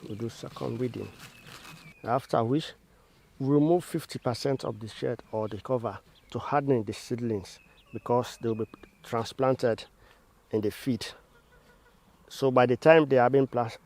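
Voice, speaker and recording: A man's voice: mid-range voice, normal speed, vocal fry, a little mellow. Male instructor, mid-range voice, walking learners through a demonstration methodically in formal terms. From Sierra Leone. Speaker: agricultural trainer.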